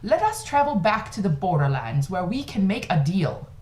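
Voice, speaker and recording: A woman playing a high, stubborn little girl. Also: big pitch swings, moderate pace, a little mellow, reverberant, close to an everyday voice. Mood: imperious. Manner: whiny, insistent. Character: stubborn, impatient seven-year-old girl at play.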